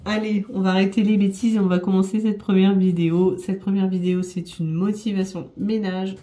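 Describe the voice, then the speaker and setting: A woman with a stuffy nose from a cold, chatting gently to viewers as she tidies her home, with soft music behind. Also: medium pitch. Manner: gentle, chatty, apologetic asides with light laughs. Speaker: home vlogger. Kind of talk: casual vlog narration.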